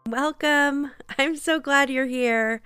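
A woman's voice: high-pitched, slow, over music. A woman hosting a podcast, sounding congested from a cold, talking in a chatty, apologetic way. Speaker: podcast host. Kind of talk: casual podcast chat.

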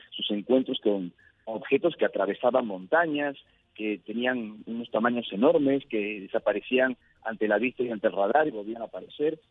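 Man, raspy tone, phone-quality audio, measured emphatic interview answers. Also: lowish voice, no echo. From Peru. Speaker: interview guest, UFO researcher. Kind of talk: radio interview, explanatory answers. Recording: thin phone-like line.

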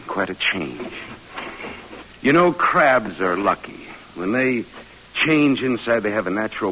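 A troubled American war veteran in an old radio play. His voice is low, and he speaks in an earnest, halting, self-reproaching way over the light hiss of a vintage broadcast. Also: fairly bright tone, rough recording. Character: troubled one-armed war veteran turned geology professor. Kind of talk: 1940s radio drama acting.

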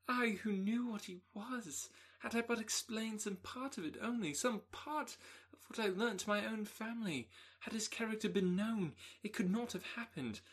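Male reader doing a frantic young lady, storybook heroine, pitched way up, husky. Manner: high, breathless, pleading delivery. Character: distraught young woman, impulsive and anxious.

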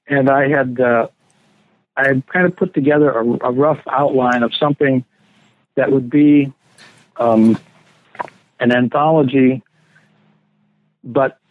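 A man's voice: medium pitch, dry recording. Scholarly man on a tinny phone line. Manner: thoughtful, reminiscing, unhurried storyteller. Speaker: scholar interviewee. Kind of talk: reflective interview answers.

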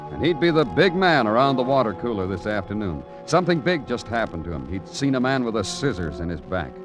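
A man's voice: fairly low pitch, low-quality recording. An actor playing a world-weary, poetic big-city police detective who narrates his case in florid, brooding radio noir style. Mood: somber. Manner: brooding, florid, dramatic phrasing. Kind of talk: 1940s-50s radio noir acting and narration. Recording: vintage radio recording with music.

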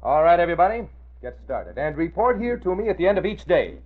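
Rapid-fire sales manager, old radio. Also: male voice, a little high, big pitch swings. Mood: brisk, rallying. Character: brisk, hustling sales manager, a man. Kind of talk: 1940s radio drama acting. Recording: old radio broadcast, narrow phone-like sound.

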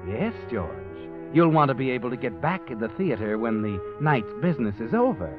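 A man's voice: medium pitch, very animated, a little fast. A man narrating a radio drama in the second person, insinuating and leading. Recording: dull old radio recording. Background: dramatic orchestral music.

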